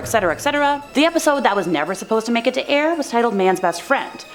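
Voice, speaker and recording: A woman in her twenties hosting a scripted countdown video, with chatty asides and an informal, playful, folksy way of talking over background music. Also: a little high. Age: 20s. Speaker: host of a scary countdown video channel.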